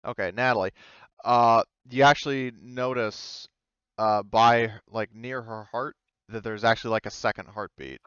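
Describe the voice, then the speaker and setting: A man running a tabletop game as game master, narrating in a halting, hesitant way over a voice call. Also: mid-range voice, steady tone, a bit bright, smooth, no room sound, lo-fi sound. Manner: halting, hesitant, pieces sentences together gradually. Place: online voice call.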